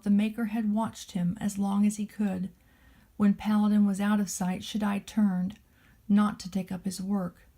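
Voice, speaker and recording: A woman narrating a children's storybook in a gentle, deliberate storytelling manner, as a nanny would to a small child. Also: medium pitch, gravelly.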